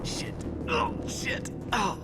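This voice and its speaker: An actor playing a wounded mercenary grunt in a video game, in pain, stammering and complaining bitterly. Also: male voice, very slow, heavy background noise. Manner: sweary, stammering, whining complaints. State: in pain, bleeding. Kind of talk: video game cinematic acting.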